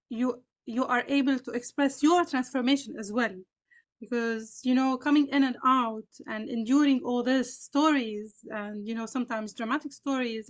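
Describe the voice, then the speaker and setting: A Palestinian woman with a Palestinian and Lebanese Arabic accent, speaking on a panel in an earnest, reflective way with frequent fillers. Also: fairly high pitch. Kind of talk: panel discussion.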